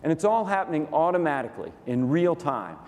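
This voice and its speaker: A man with a Texas accent, a company COO walking through a software demo onstage in a dry, matter-of-fact, methodical way. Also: on the high side, very animated, fairly quick. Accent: Texan. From Texas, USA. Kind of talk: staged product demo presentation. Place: conference stage in a large hall. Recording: reverberant conference hall.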